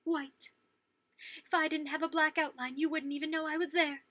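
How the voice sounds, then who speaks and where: Lady doing a sad lonely storybook crayon, real high plaintive voice, dry sound. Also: flat delivery, normal speed, sharp tone, no room sound. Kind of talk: children's picture book read-aloud.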